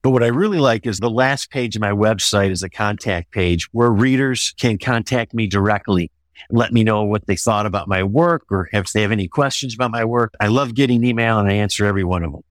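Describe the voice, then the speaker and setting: A man's voice: lowish voice, brisk, dry recording. A man talking as a guest author in an interview, discussing his own work conversationally.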